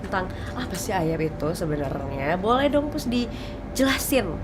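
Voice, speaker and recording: A woman's voice: mid-range voice, sing-song, moderate pace. Chatty, teasing woman TV host. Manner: chatty and teasing, laughs often. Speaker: TV talk show host. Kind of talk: casual morning talk show chat. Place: TV studio. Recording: noticeable background noise.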